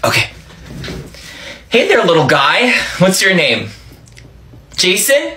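A man's voice: high voice, huge pitch swings, takes it slowly. A man performing an exaggerated comedy skit. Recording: loud noise, echoey room.

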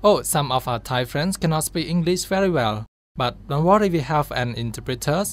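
A man's voice: a little high, lively intonation, normal speed, lightly acted. A man playing a welcoming, organised group host in a radio drama. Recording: faint background ambience noise.

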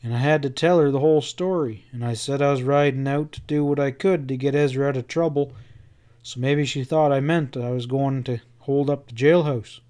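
A man voicing a grave, wounded cowboy in a Western audiobook, quiet and plain-spoken. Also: medium pitch, fairly even intonation, normal speed, close to an everyday voice. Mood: defensive. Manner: quiet, rustic Western speech.

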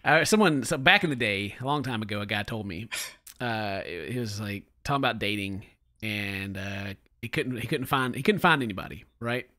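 A man in his forties giving sarcastic, animated reaction commentary from a home room on a boom microphone. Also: normal speed. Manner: sarcastic, animated, mocking voices. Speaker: reaction channel host. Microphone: studio mic on boom arm.

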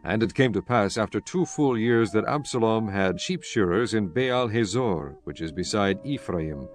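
A man's voice: fairly low pitch, animated, normal speed. A man narrating scripture as a scripted dramatized reading, in a formal, solemn storytelling manner. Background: background music.

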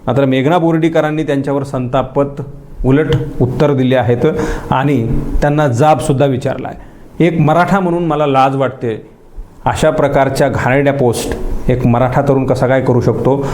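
A man's voice: mid-range voice, fairly even intonation, normal speed, a little mellow, slight background noise. A man with a Maharashtrian accent presenting a news explainer to the audience. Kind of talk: news explainer to camera, introducing a viral clip.

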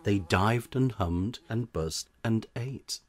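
A man narrating a children's storybook in verse, with theatrical, measured delivery. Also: on the low side. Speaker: audiobook narrator.

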